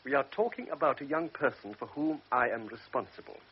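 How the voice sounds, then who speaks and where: An actor playing a blunt, principled doctor in a vintage radio drama, grave and reproachful. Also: male voice, mid-range voice, expressive, fairly quick, highs cut off, slight background noise, lightly acted. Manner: grave, then sharply reproachful. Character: stern older male doctor, blunt and principled.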